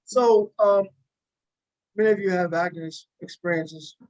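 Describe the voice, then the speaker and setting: High-voiced man hosting, halting delivery. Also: a little mellow, muffled recording. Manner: halting, repeats words as he goes. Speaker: talk show host and cast member. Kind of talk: casual interview hosting.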